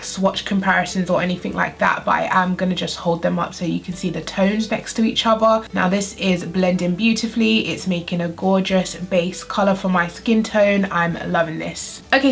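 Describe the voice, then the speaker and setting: A woman's voice: mid-range voice, vocal fry. A young adult woman vlogger talking through a makeup tutorial, her nose blocked from a cold, chatty and full of "like". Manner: chatty, uses "like" often. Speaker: beauty vlogger.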